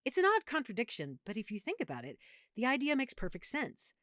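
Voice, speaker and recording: A woman's voice: on the high side, huge pitch swings, fairly quick, a bit bright, no echo, clean studio sound. A woman playing an affectionate, opinionated girlfriend who is secretly a vampire, in an intimate, conversational audio roleplay. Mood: earnest, geeky. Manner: chatty, playful, geeky explaining.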